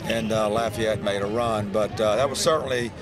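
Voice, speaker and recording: A man's voice: on the low side, fairly even intonation. Older coach guy talking after the game at courtside, lots of uh and restarts, crowd noise in the arena. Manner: halting, many restarts and fillers. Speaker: head basketball coach. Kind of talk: post-game interview. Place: indoor basketball arena, courtside. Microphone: handheld reporter mic.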